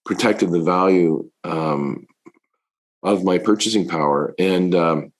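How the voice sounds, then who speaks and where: A middle-aged man, a fund manager, answering interview questions in a halting way with many fillers, his voice deepened by illness. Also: poor audio. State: recovering from covid, voice deepened.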